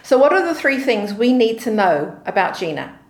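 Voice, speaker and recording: A woman's voice: on the high side, sing-song, normal speed, roomy sound. A woman hosting an interview show, reading a scripted introduction and reacting with effusive, enthusiastic interjections. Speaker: host.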